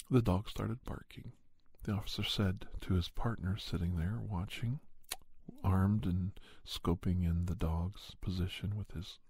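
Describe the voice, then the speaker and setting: Deep, mellow, husky man telling an ASMR story. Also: dry recording. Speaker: storyteller and podcast host. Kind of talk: ASMR storytelling in first person.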